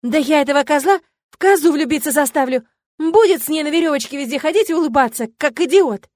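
A woman's voice: high voice, lively intonation, fairly quick, no echo, clean studio sound, voice pushed up. A woman voicing a feisty, loyal and bossy young witch friend, indignant and emphatic, in an audiobook. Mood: protective outrage. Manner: emphatic, combative. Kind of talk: dramatised audiobook.

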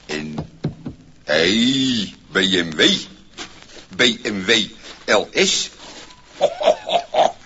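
A scruffy, cheeky vagrant in a 1960s radio play. His bright, very animated voice mutters to itself, exclaims and trips over itself when flustered. The old record is muffled, with a little noise. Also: low voice, extremely slow, pauses often, heavily acted. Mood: delighted wonder. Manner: stammering when flustered, exclaiming, talking to himself. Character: scruffy good-natured cheeky vagrant man, easily flustered and indignant. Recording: muffled, noisy 1960s vinyl single recording.

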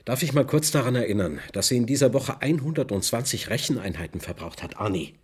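An actor playing an impatient, controlling research boss, insistent and sardonic, in a radio play. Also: male voice, medium pitch, expressive, fairly quick, breathy edge. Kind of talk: German radio play acting. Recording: long hall reverb.

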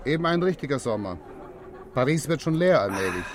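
An actor playing a polite, easygoing refreshment vendor at a station in a radio drama, given to drawn-out calls and small talk. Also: male voice, medium pitch, big pitch swings, music in the background, natural voice. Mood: chatty. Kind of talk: 1960s German radio drama acting.

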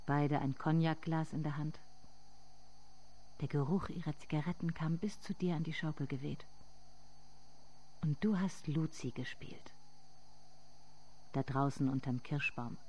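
An actress playing a somber narrator in a literary radio drama, speaking in measured, deliberate phrases. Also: female voice, deep voice, fairly even intonation, darkish tone, a little hiss or hum. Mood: quiet, reflective.

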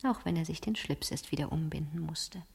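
An actress playing a calculating fifteen-year-old girl in a German radio crime drama, speaking deliberately and sometimes hushed. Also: deep voice, gravelly, slightly rough, heavily acted. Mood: smug, calculating. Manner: slow, deliberate, hushed at times.

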